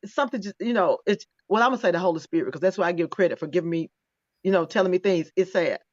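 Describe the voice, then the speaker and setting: A talkative woman in her fifties hosts a relaxed conversation, laughing easily between thoughts. Her voice comes through a dry, dull tablet microphone with no room sound. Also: on the low side, racing, dry recording. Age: 50s. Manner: chatty, laughing, restarts often. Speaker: podcast host. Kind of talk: casual interview chat.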